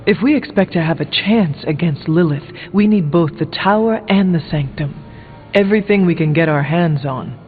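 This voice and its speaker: An actor playing a level-headed, earnest warrior hero in a video game, with a measured and formal way of talking. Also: male voice, fairly high pitch, lightly acted. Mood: serious, questioning. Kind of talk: video game character dialogue. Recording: phone-like band-limited sound under music. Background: music.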